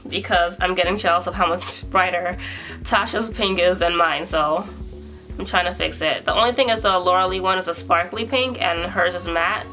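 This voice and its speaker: A woman's voice: on the low side, normal speed, bright, forceful tone, faint music behind. A young woman talking through a makeup tutorial at home, chatty and self-deprecating, thinking aloud as she works on camera. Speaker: beauty vlogger.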